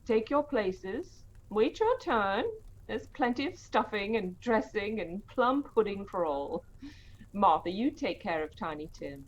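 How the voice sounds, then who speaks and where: A woman's voice: medium pitch, animated, unhurried, muffled recording, a little hiss or hum, close to an everyday voice. Fussing, fond Victorian mother played by a woman. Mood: bustling. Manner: motherly, bustling, homely period speech. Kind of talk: audio play acting, old radio drama style.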